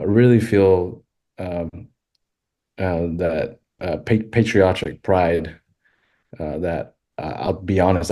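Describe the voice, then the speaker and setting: Deep mellow guy from thailand, lots of ums and restarts, casual podcast guest. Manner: halting, many fillers and restarts. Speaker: podcast guest, western filmmaker.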